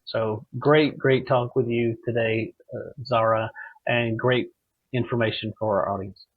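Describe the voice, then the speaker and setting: A man hosting a conversational interview podcast, speaking in a halting, encouraging way. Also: medium pitch, fairly even intonation, husky, phone-quality sound. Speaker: podcast host.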